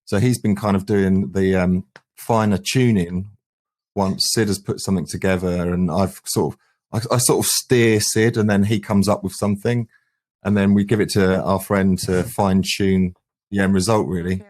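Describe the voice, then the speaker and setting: A man with a low voice talks casually in an interview. He sounds earnest and keen, like a band frontman explaining his work. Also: steady tone, normal speed, a little mellow. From England, UK. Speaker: band singer and guitarist, guest.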